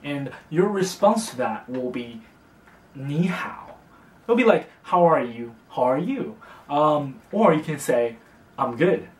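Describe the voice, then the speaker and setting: A young man from China, teaching a casual beginner language lesson in a relaxed, chatty way, with fillers and slow, careful repetition of example words. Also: fairly high pitch. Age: young adult. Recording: roomy, distant mic.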